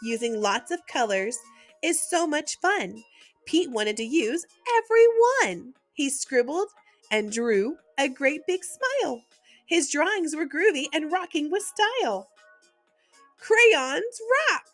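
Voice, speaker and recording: A gentle woman who reads a children's bedtime story slowly and tenderly. Her voice is high and very lively, rising and falling as she talks to little ones, with soft music playing underneath. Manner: gentle, warm and expressive, like reading to small children. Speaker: host reading children's books. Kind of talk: bedtime read-aloud storytelling.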